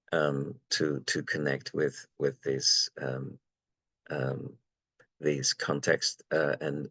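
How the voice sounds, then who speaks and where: A man from Venezuela speaking on an academic panel, halting with many fillers and repeated words. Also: rumbling low, fairly bright tone, husky, no echo.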